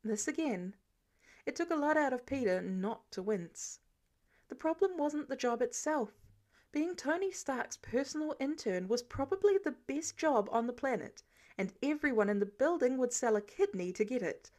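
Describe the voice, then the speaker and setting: A woman's voice: high-pitched, animated, moderate pace, a little mellow. A woman narrating fiction as a scripted audiobook reading.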